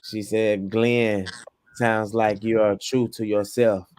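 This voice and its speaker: A man slowly reading a viewer's comment aloud on a podcast. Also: on the low side, flat delivery, a little mellow. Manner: slow, deliberate reading.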